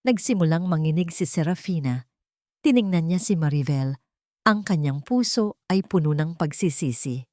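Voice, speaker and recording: A Filipino woman narrates a dramatic story in a fairly low voice. Her phrasing is solemn and measured, and the recording is dry and close. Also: lively intonation, a little mellow, dry recording. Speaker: audiobook narrator.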